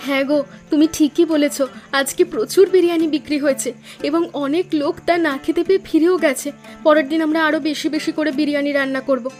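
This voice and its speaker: A woman's voice: high voice, steady tone, brisk, a little mellow, soft background music, lightly acted. A woman voicing a caring village wife in a cartoon, with an attentive, coaxing way of talking. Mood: pleased. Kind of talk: Bengali cartoon voice acting.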